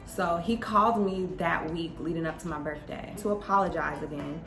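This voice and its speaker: A woman's voice: fairly low pitch, moderate pace, gravelly, reverberant, music in the background. A young adult woman vlogger telling a personal story in a casual, conversational way, with emphasis when she quotes exchanges.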